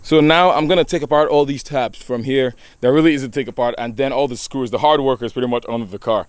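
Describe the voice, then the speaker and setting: A man's voice: mid-range voice, quick pace, smooth, dry recording. A young man, a college student, talking casually in a do-it-yourself car vlog, goofy and rambling, often laughing and restarting his sentences, recorded outdoors by his car. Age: young adult. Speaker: car vlogger.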